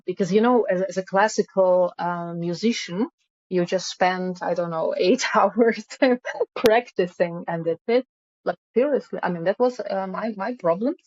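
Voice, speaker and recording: A woman, speaking as an interview guest in a halting way with many fillers. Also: lowish voice, a little mellow. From Russia. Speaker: guest, music founder. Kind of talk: casual interview. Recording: dull lo-fi call audio.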